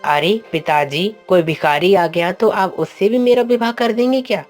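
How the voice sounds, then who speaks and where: A woman's voice: mid-range voice, moderate pace, darkish tone, faint music behind, close to an everyday voice. A woman voicing a proud, stubborn young princess who argues with her father in a cartoon story. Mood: indignant. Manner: indignant, defiant. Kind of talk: Hindi cartoon storybook voice acting.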